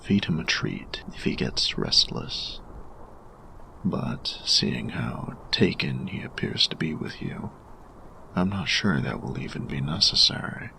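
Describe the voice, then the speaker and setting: A man playing a grumpy, reserved steampunk artificer in an audio roleplay, speaking formally and deliberately in a gravelly, breathy murmur. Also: rumbling low, big pitch swings, bright, forceful tone, in a whisper, exaggerated voice, low-quality recording. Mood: focused, quietly approving. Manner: formal, measured, deliberate phrasing. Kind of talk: scripted audio roleplay addressed to the listener.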